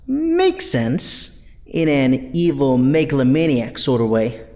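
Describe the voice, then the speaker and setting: Animated, clever young teammate played by a man. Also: mid-range voice, slow, heavily acted. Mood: wry. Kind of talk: audio drama acting. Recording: long hall reverb, music bed.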